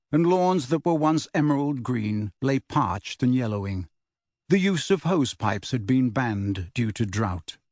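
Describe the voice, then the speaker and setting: A man's voice: mid-range voice, dry recording. A man reading a novel aloud as scripted audiobook narration.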